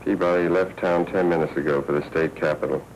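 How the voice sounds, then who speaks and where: An actor playing a cool, tough American newspaper editor in a 1940s film noir, terse and wry. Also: male voice, low-pitched, fairly even intonation, brisk, darkish tone. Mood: wry, steady. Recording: muffled, hissy 1940s film soundtrack.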